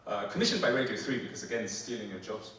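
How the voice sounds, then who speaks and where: A man in his forties with a Polish accent, performing spoken word poetry and joking between poems in halting, filler-heavy banter. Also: fairly low pitch, background noise. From Poland. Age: 40s. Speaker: performance poet. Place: theatre stage before a live audience. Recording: echoey hall, mic from a distance. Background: audience laughter.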